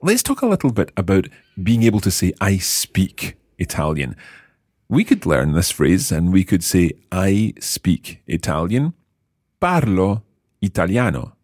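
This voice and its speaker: A man's voice: sits low, no echo, clean studio sound. A man hosting a language lesson, explaining phrases patiently and quizzing his co-hosts. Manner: patient, lively, repeats phrases slowly. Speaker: language teacher and host.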